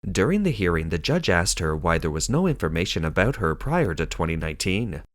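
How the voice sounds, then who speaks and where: Deep-voiced man narrating a dramatic explainer. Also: very animated, brisk. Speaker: narrator of a news explainer channel. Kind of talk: scripted documentary narration.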